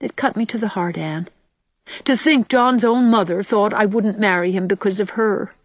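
A woman's voice: medium pitch, moderate pace, dry recording, lightly acted. A woman voicing a weary, hurt countrywoman who speaks in a plaintive, confiding way in an audiobook. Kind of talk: audiobook character voice.

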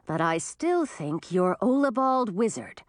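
A woman's voice: mid-range voice, sing-song, measured pace, no echo, studio quality, pitched above the performer's own voice. A woman voicing a shrewd young princess in a storybook, polite but firm, bold and wary. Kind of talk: storybook character voice.

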